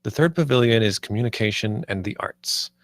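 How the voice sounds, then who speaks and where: A man's voice: on the low side, expressive, brisk, no echo. A man playing an earnest, idealistic theme park planner in a screenplay table read, a little hesitant. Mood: enthusiastic. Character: earnest, idealistic male theme park planner named Marty, nervous, visionary.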